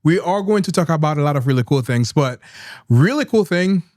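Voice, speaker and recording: A man from Haiti hosts a talk show, his voice slightly high for a man. He sounds upbeat and eager, and the studio sound is dry and close. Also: lively intonation, fairly quick, a little mellow, no echo. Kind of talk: casual interview chat.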